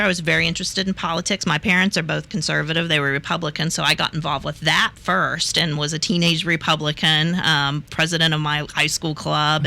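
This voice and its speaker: A woman's voice: low-pitched, vocal fry, bright, forceful tone. A woman in her fifties from West Virginia, chatting as a talk show guest, laughing easily and talking with many fillers and restarts. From West Virginia, USA. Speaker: guest, reproductive rights activist. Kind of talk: casual interview talk.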